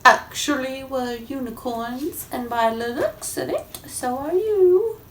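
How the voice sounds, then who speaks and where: A woman voicing a friendly, welcoming unicorn in a children's storybook, with drawn-out exclamations. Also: high-pitched, fairly bright tone, recorded from a distance, slight background noise.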